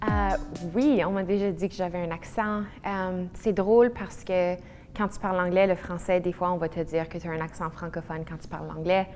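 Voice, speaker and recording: A woman's voice: lowish voice, steady tone, brisk, darkish tone, soft background music. A woman with an English-influenced French accent, explaining in a reflective way. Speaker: interviewee. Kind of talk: testimonial talk.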